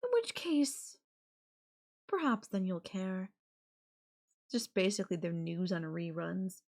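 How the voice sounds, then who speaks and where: Woman playing a possessive yandere girlfriend, unhurried pace, mid-pitched, coy and playfully menacing, casual intimate audio roleplay. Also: expressive, gravelly, a little mellow. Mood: casual, matter-of-fact. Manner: coy, drawn-out, casual filler-laden phrasing with giggles and sighs. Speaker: voice actor.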